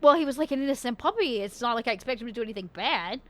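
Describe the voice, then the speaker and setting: A woman plays a bossy undead woman bookkeeper in a tabletop vampire game. Her voice is high and swings widely as she scolds with sassy, exasperated emphasis, repeating words for effect. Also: animated, racing, a bit bright. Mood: incredulous.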